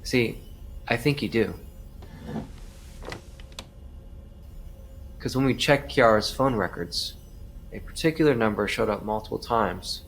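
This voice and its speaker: An actor playing a skeptical, weary police detective who presses quietly in an audio drama. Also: male voice, fairly low pitch, moderate pace, slight background noise. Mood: firm, probing. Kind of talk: audio drama acting, interrogation and confessional narration.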